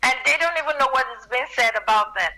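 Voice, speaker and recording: A woman from Nigeria with a Nigerian accent softened by American speech, a radio guest talking earnestly and often repeating words as she starts. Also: high-pitched, animated, fast. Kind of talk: radio interview by phone. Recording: tinny phone line.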